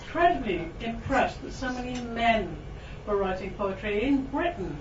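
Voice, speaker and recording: Welsh woman, higher voice, reads poetry to a live room, chatty asides; distant mic, echoey, dull and noisy. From Wales. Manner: chatty and self-mocking, with frequent ums and laughs; slow, lingering delivery when reading verse. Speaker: poet giving a reading. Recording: distant, roomy, dull recording with background noise.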